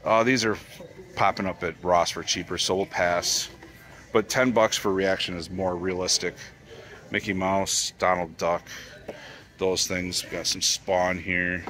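This is a man with a fairly low voice that still cuts through brightly. He chats casually while browsing toy shelves, rambling and naming items as he spots them, excited by each find. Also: measured pace. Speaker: toy collecting vlogger. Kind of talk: casual store walkthrough commentary. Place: retail store aisles.

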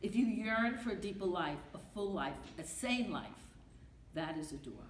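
A woman's voice: medium pitch, animated, normal speed. An older woman with a Brooklyn accent reading a poem at a podium, emphatic and rhythmic, repeating her refrains. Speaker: poetry reader. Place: library meeting room. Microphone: podium mic from a far camera. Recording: echoey room with faint noise.

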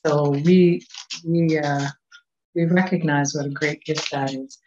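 A Canadian woman in her sixties giving a children's lesson at a church service over a webcam from a small home office, talking gently and asking coaxing questions. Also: low voice, fairly even intonation, unhurried, slight background noise. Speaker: children's time leader. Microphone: webcam on a video call. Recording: dull, muffled webcam sound.

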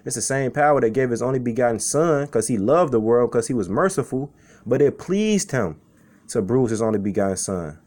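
A man's voice: medium pitch, animated, fairly quick, a little mellow. Casual-talking man preaching scripture. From Chicago. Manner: conversational, repeats "man" and "okay". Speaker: street preacher. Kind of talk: informal sermon with scripture reading.

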